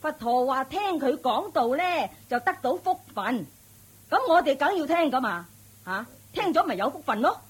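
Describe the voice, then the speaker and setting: An actress playing a harsh, scolding elderly mother-in-law in a radio drama, with a husky, snapping, scornful voice. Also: high-pitched, sing-song, moderate pace. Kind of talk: Cantonese radio drama acting.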